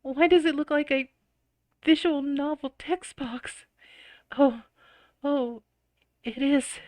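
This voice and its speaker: A woman's voice: sits high, slow, a little mellow, no echo. A woman reading a visual novel aloud with dramatic expression and casual, giggly reactions.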